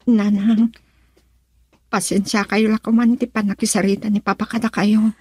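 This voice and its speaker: A woman's voice: mid-range voice, flat delivery, normal speed, darkish tone, husky, no echo, lightly acted. A woman playing a tender, anxious young pregnant wife in an Ilocano radio drama, with a Filipino accent. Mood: grateful, tender.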